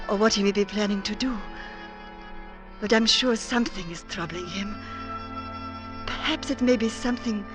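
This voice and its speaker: A woman's voice: mid-range voice, lightly acted. Woman playing a wistful innkeeper widow, music behind. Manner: wistful, formal, slightly foreign phrasing. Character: gentle, lonely widowed innkeeper woman in the Alps. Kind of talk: old-time radio drama acting.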